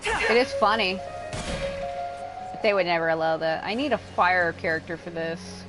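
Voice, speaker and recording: A woman's voice: on the low side, normal speed, low-quality recording. A woman livestreaming a game, giving casual, rambling, offhand commentary with some swearing. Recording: game music playing behind the voice. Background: game music.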